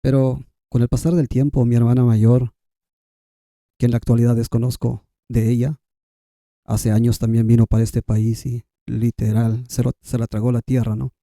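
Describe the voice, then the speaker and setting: Very dark-toned Guatemalan man, native K'iche' speaker. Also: mid-range voice, little pitch movement, normal speed, no echo, clean studio sound. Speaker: podcast guest. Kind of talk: conversational personal storytelling.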